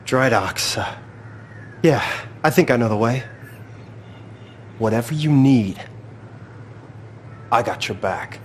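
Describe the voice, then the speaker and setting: A man playing a rattled but loyal cab driver in a video game, excitable and colloquial, with plenty of swearing. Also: mid-range voice, slow, long pauses. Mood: loyal, uneasy. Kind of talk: naturalistic video game acting. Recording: clearly noisy, vehicle and ambient sound.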